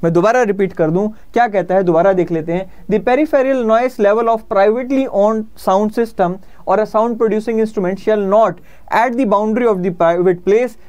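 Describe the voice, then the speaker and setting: A man's voice: sits high, darkish tone, clean tone. A man in his thirties with an Indian accent, explaining like an exam-prep teacher in a conversational lecture, often rephrasing his points. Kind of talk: explanatory lecture mixing Hindi and English. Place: indoor studio in front of a presentation screen.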